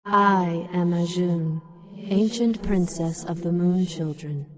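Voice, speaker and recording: Echoing ancient spirit princess. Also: female voice, fairly low pitch, slow pace. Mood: solemn, forbidding. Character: ancient spirit princess, solemn, forbidding, otherworldly. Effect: long ghostly reverb. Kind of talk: fantasy video game acting. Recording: long reverberant hall effect.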